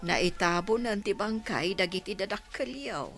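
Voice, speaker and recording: A woman's voice: medium pitch, lively intonation, moderate pace, vocal fry, over loud music. A woman with a Filipino Ilocano accent playing a concerned, chiding village aunt in a radio drama, with an emphatic delivery.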